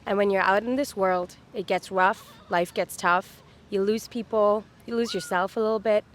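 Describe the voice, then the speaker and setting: A woman's voice: mid-range voice, steady tone, moderate pace. A woman in her thirties from Dubai, chatting upbeat as a street interview guest, with many fillers. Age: 30s. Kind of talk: casual chat. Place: outdoors on a city street.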